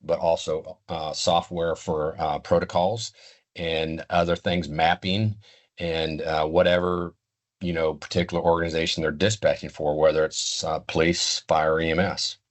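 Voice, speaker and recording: Very deep-voiced older man, halting delivery. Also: little pitch movement. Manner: halting, deliberate, measured explaining. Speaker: interview guest, retired law enforcement communications expert. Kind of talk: unscripted interview answers.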